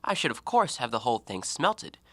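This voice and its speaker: A man playing a talkative, educated and self-righteous gentleman antiquarian in an audio drama, given to theatrical, argumentative speeches. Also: medium pitch, very animated, brisk, dry recording. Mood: musing, self-assured. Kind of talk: stage-play acting in an audio drama.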